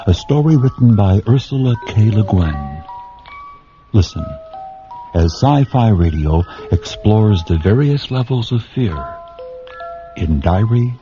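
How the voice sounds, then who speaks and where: A man announcing a radio drama in a measured, theatrical scripted narration. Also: lowish voice. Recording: dull lo-fi audio under music. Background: clear music.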